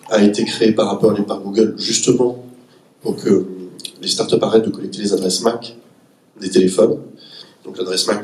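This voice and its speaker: A low, flat-voiced man with a cold explains technical details with many restarts. He sits on a panel in an echoey room, picked up from some distance. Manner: explanatory, technical, self-correcting. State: has a cold. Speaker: panelist, startup executive. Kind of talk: round-table discussion. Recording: echoey room, far from the mic.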